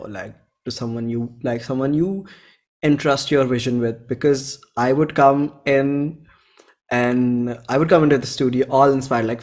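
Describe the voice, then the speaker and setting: A man in his twenties with an Indian accent, chatting casually as an interview guest and retelling exchanges in slangy, animated quotes. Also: medium pitch. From Bhopal, India. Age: 20s. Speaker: interview guest, singer-songwriter. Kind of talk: casual chat.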